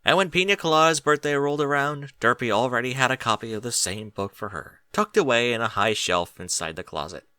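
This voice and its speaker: A man reading a story aloud as an expressive audiobook narrator. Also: medium pitch, a little fast, hardly any pauses, smooth, no room sound.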